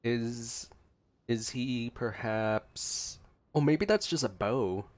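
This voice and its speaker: A man's voice: mid-range voice, expressive, drawn-out words. A man giving casual gameplay reaction commentary as a streamer, with game music behind him. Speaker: gaming streamer.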